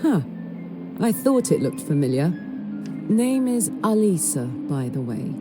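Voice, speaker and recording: A woman's voice: medium pitch, very animated, measured pace. Bold young vampire noblewoman, teasing, sardonic, theatrical, video game role, swooping intonation, music behind. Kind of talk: video game character acting.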